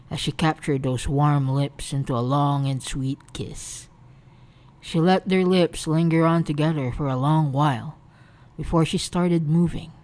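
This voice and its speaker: A woman reading romantic fan fiction aloud as narrator, in a soft scripted storytelling style. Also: sits low.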